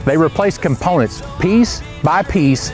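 A man's voice: on the high side, expressive, measured pace, a little mellow, faint music behind. A man in his forties, a pilot host, narrating in an earnest, grateful tone from an aircraft hangar. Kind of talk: scripted narration.

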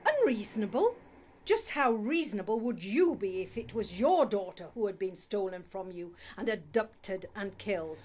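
A woman's voice: medium pitch, expressive, normal speed, a little mellow, close to an everyday voice. A woman playing a bitter, indignant grieving mother in a radio play, speaking accusingly.

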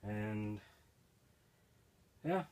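Slow-talking man explaining a repair. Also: on the low side, animated, a little mellow, smooth. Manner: plain, unhurried, practical, with frequent fillers. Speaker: DIY video host. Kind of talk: casual how-to demonstration. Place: indoor garage or shed, filming himself while crouched working.

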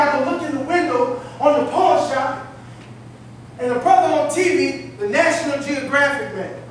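A man playing a down-on-his-luck homeless beggar, bitter and wry, in a folksy, rambling, theatrical stage monologue. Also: very high voice. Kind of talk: theatrical church drama monologue. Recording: very echoey hall, distant mic, faint noise.

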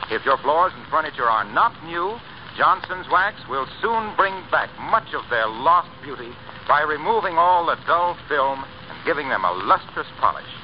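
A man reading a scripted commercial as a 1930s radio announcer. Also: fairly high pitch. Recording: dull, faintly noisy 1930s radio recording.

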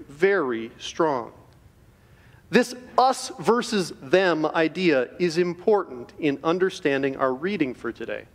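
A middle-aged man giving an earnest, measured chapel devotion to students from a podium in a school auditorium. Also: on the high side. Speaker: chapel speaker. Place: large school auditorium stage. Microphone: podium microphone. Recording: reverberant auditorium.

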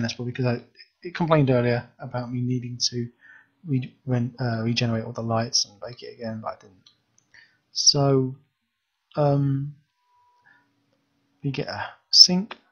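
A man's voice: mid-range voice, breathy edge, highs cut off. A man reviewing a game asset in casual commentary, thinking aloud and ranting about bugs as he explores.

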